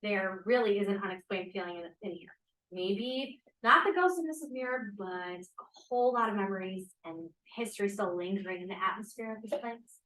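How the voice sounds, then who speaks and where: Woman playing a bright-voiced young 1940s student. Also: medium pitch, moderate pace, natural voice. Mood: earnest. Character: bright young Midwestern female college student of 1945. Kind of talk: play table read. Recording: echoey room.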